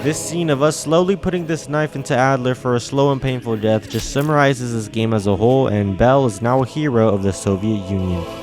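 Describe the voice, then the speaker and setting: A man's voice: mid-range voice, brisk, over music. Young man casually narrating a game. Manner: casual, wry. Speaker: video essay narrator.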